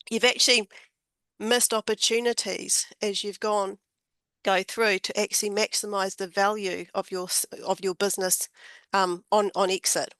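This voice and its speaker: A woman with a croaky voice, speaking as a guest advisor on a video call webinar and repeating words as she thinks. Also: medium pitch, a bit bright, no echo. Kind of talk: webinar discussion.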